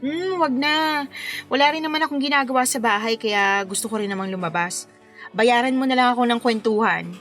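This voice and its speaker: A woman's voice: fairly high pitch, brisk, fairly bright tone, soft background music, lightly acted. A woman playing a kind, easygoing wedding planner in a radio drama, chatty, teasing and reassuring in a conversational way. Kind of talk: Filipino radio drama dialogue.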